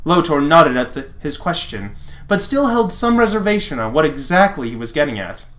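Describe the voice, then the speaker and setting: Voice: mid-range voice, sing-song, normal speed, fairly bright tone. A sarcastic, exasperated reader narrating fan fiction dramatically and breaking off for mocking asides.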